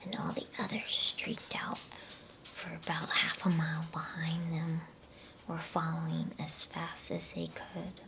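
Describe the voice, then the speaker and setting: A woman softly whispering an ASMR bedtime story, reading in a slow, soothing manner with pauses between phrases. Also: low-pitched, little pitch movement, vocal fry.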